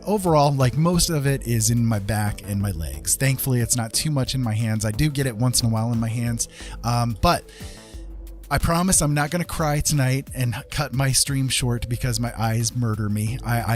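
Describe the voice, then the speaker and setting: A man chatting casually on a livestream as an art streamer, rambling along in a gentle, earnest way. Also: mid-range voice, normal speed, faint music behind.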